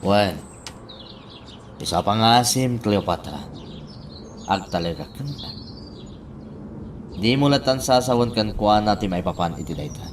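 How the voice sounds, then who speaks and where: A man's voice: fairly low pitch, animated, measured pace, long pauses, a little hiss or hum. A man playing a friendly, curious younger man, a respectful nephew, in a radio drama. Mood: businesslike.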